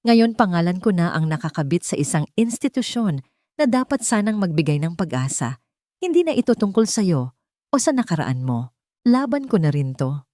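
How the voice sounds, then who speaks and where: A woman's voice: on the low side, very animated, normal speed, dark tone, no echo, studio quality. A woman narrator voicing a determined, steadfast young woman in an audio drama, speaking firmly and resolutely. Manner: firm, resolute.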